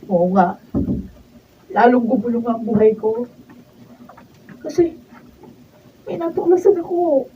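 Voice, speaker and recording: A woman's voice: a little high, lively intonation, slow pace, long pauses. An actress playing a long-suffering young pregnant wife and mother in a Filipino radio drama, with emotional delivery. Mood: regretful. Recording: dull, distant mic, faint noise.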